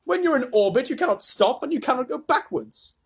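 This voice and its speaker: Calm eccentric inventor guy read by a man, patient and a bit stern, lively pitch. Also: fairly quick, creaky, breathy edge, heavily acted. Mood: calm explaining.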